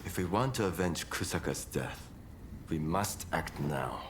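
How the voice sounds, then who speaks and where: An actor playing a brash, eager, teasing rebel warrior in a video game, with an expressive delivery. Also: male voice, deep voice, measured pace, noisy background. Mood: grimly urgent. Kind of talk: dramatic video game cutscene acting.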